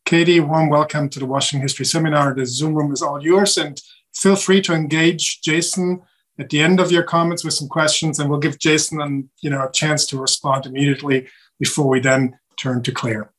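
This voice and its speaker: A man's voice: fairly high pitch, steady tone, brisk, fairly bright tone, rough recording. A middle-aged man hosting a seminar on a video call, giving a courteous introduction with hesitant fillers. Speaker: seminar host and moderator. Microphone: webcam video call.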